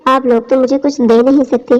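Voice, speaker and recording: A woman's voice: sits high, little pitch movement, fairly quick, darkish tone, natural voice. A woman narrator voicing a scheming young college girl in a story, coaxing and greedy. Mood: defiant. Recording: dull lo-fi audio.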